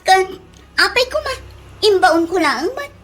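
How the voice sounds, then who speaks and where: An actress playing a bossy young restaurant worker in a radio comedy drama, curt and commanding. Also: female voice, extremely high pitch, sing-song, unhurried, a bit bright, slight background noise, heavily acted. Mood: defiant. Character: bossy young female restaurant worker ordering others around.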